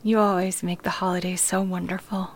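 A woman playing a sweet, devoted young granddaughter in a radio play, quiet, thoughtful, hesitant and earnest. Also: fairly low pitch, little pitch movement, a little fast, close to an everyday voice. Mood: quiet, tender. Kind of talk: sentimental radio play acting.

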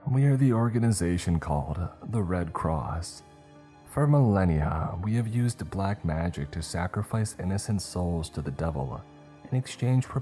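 A man's voice: sits low, mellow. A man voicing a boastful, theatrical cult witch who shrieks and rambles. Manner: shrieking, chatty villain.

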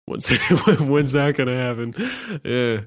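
A man's voice: mid-range voice, fairly even intonation, slow pace, no echo, studio quality. A man in his twenties co-hosting a casual podcast, joking and sardonic, stammering and repeating words. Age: 20s. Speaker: podcast co-host, cartoon maker.

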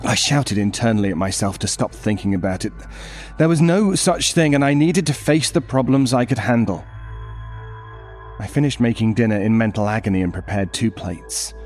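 An actor playing an anxious, troubled father who narrates a horror story in an intense, brooding way with dramatic pauses. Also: medium pitch, a little mellow, close to an everyday voice. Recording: music behind the voice.

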